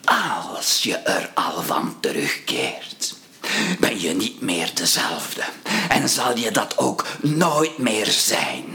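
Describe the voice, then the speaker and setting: A man's voice: on the low side, very animated, takes it slowly, bright, forceful tone, full character voice. An actor playing a haunted, obsessed man in a radio play, delivering an ominous theatrical warning with whispers and outbursts. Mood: haunted, hushed. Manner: ominous, dramatic, slipping into breathy whispers and outbursts. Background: music soundscape.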